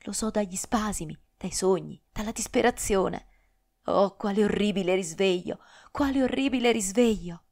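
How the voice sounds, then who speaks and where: A woman's voice: medium pitch, normal speed, a little mellow, lightly acted. A woman voicing a passionate twenty-year-old noblewoman who pleads and protests with strong feeling. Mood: anguished. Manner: emotional, pleading and vehement.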